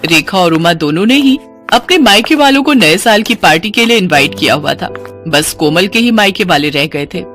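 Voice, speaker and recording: A woman's voice: fairly low pitch, animated, moderate pace, fairly bright tone, soft background music. A woman storyteller reading scripted narration of a family moral story.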